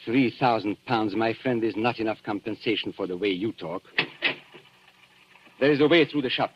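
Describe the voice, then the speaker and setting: An actor playing a suave, condescending antique dealer and blackmailer in 1940s radio drama style. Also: male voice, lowish voice, normal speed, noisy background, natural voice. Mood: cold, menacing. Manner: smooth, theatrical, condescending politeness. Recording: muffled, dull 1940s radio recording.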